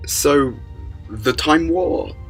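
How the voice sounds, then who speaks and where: Man acting an animated, quirky time-traveller, music behind. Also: on the high side, extremely slow, exaggerated voice. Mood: thoughtful. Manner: theatrical, hesitant stammers, abrupt emphatic bursts. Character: the Doctor, quirky alien time-travelling adventurer, male. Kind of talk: audio drama acting.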